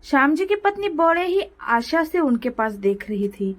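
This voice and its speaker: Casting: female narrator with a high voice for scripted moral stories. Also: sing-song, normal speed. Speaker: storyteller.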